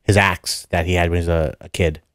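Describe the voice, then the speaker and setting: A man's voice: low voice, steady tone, fairly quick, a bit bright, no room sound, clean studio sound. A man co-hosting a podcast, talking comics in an enthusiastic, rambling, slangy way. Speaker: podcast co-host. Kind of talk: casual comics chat.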